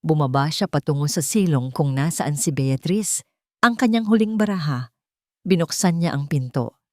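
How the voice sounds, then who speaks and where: Low-pitched woman narrating dramatic fiction. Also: moderate pace, dry recording, clean studio sound. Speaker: storyteller narrator. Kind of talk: dramatic scripted romance-thriller audio story narration.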